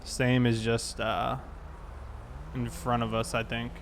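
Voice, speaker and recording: A man with a mid-pitched voice talks casually, like a streamer hosting a game session. He explains things in a conversational way. Faint vehicle noise and ambience sit behind him. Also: monotone, takes it slowly, creaky. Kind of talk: casual chat while gaming.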